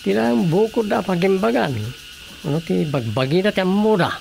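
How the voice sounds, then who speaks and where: A man acting an indignant, grumbling villager in an Ilocano-accented radio drama comedy, with a creaky voice and emphatic delivery. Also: high voice, animated, moderate pace, darkish tone, a little hiss or hum, full character voice. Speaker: voice actor.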